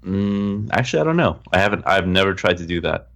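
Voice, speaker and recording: A man with a low-pitched voice speaks as a podcast co-host. He explains things informally and step by step, in relaxed everyday conversational phrasing. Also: brisk, vocal fry. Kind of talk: casual explanatory tech chat.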